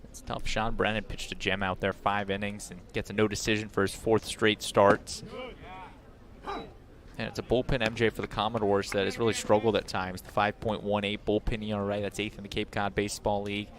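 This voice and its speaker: A man's voice: lowish voice, level tone, a little fast, a bit bright. A man giving baseball analysis as a color commentator on a game broadcast.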